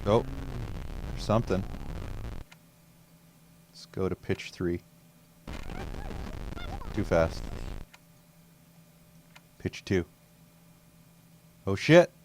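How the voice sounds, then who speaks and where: Deep-voiced man playing a game. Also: animated, extremely slow, long pauses, noisy background. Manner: laid-back, muttering to himself, occasional cursing. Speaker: let's play host. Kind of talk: casual gameplay commentary.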